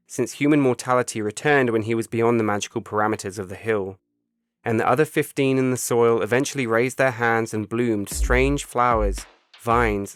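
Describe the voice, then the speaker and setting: A man reading his own short story as a scripted literary narration, measured and solemn. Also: mid-range voice, no room sound, studio quality. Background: music bed.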